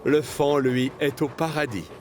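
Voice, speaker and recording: A man with a mid-pitched voice narrates a children's tale. He tells it expressively from a script, with music playing behind him. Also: unhurried. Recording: music under the voice.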